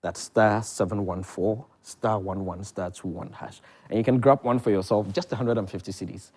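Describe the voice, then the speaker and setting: A man with a Ghanaian accent speaks in a fairly low-pitched voice. He talks conversationally, as a theatre producer and director answering questions in a TV interview. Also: steady tone, normal speed, a little mellow, breathy edge. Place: television studio.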